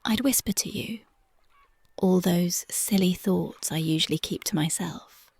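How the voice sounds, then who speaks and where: A voice actress playing a young punk girlfriend, speaking softly and whispering in a dreamy, intimate, affectionate ASMR roleplay close to the mic. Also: lowish voice, unhurried, vocal fry, breathy edge, heavily acted. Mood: tender dreamy. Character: young punk woman, tender devoted girlfriend.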